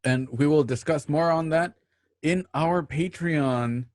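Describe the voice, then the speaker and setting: A man's voice: on the high side, slow, no room sound. Male radio host, dry close sound. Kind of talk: casual show sign-off and announcements.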